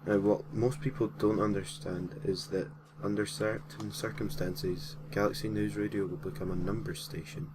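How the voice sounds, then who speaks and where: A man's voice: on the low side, flat delivery, moderate pace, hardly any pauses, noisy background. A man narrating a gaming story in an informal way, reading from a script with casual, slightly hesitant asides. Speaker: host and narrator of a gaming creepypasta series.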